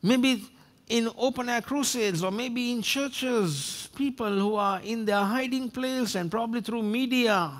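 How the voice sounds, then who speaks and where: Preacher, male, 60s, high voice, emphatic and repetitive sermon delivery. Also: measured pace. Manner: emphatic, repeats phrases for effect. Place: church stage, indoors. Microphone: headset.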